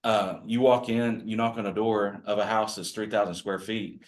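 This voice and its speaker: A man's voice: fairly low pitch, fairly even intonation, moderate pace. A man with a growly voice, giving a folksy, rambling training talk to a group of real estate agents. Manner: folksy, rambling, self-deprecating. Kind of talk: casual workshop talk to a group.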